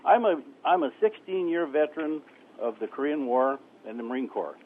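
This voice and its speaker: A man of 69 calling a radio phone-in, argumentative and insistent, haltingly repeating himself. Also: on the high side, animated. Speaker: radio call-in caller. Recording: tinny phone line on radio.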